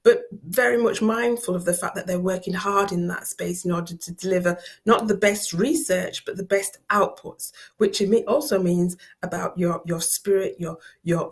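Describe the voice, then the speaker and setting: A middle-aged woman with a Yorkshire accent delivers an academic lecture. Her voice sits fairly low, with a gravelly edge and a husky texture. She often doubles back on words as she reaches for the next phrase. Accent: Yorkshire English. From Yorkshire, England. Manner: repeats words while searching for the next phrase. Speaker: professor giving a guest lecture.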